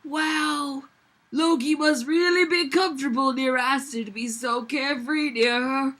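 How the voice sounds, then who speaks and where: A tipsy woman reads fan fiction aloud for laughs in a high, bright voice. She is giggly and theatrical, and takes her time over the words. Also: fairly even intonation, unhurried. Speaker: reader. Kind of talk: comic dramatic reading of fan fiction.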